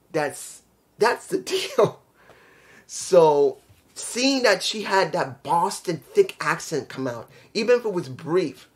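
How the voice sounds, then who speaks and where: A man with a New York accent, reviewing a wrestling show in a casual, rambling, blunt way, often repeating himself. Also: on the high side. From New York City. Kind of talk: casual solo video review.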